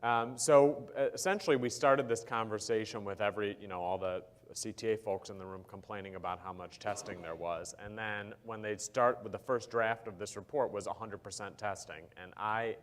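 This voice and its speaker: A man with a low-pitched voice speaks at a conference panel. He explains policy in a measured way, often pausing on ums and uhs. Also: steady tone. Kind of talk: panel discussion answer.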